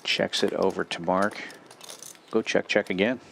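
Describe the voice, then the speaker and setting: Man, poker commentator, low voice, steady short calls, faint background hum. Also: unhurried, creaky. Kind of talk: live play-by-play commentary.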